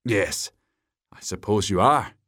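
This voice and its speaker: A man's voice: on the low side, expressive, slow, fairly bright tone, breathy edge, dry recording, studio quality, full character voice. A man voicing a vain, boastful knight in a storybook, pompous and bragging. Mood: self-satisfied. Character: boastful, self-satisfied male knight, triumphant and vain.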